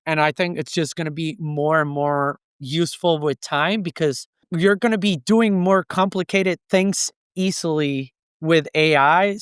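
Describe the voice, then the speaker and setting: A man's voice: fairly high pitch, fairly bright tone, clean tone, no room sound, studio quality. A native Spanish-speaking man with a Puerto Rican accent, giving explanatory advice as a guest in a conversational interview. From Puerto Rico. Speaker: podcast guest, consultant.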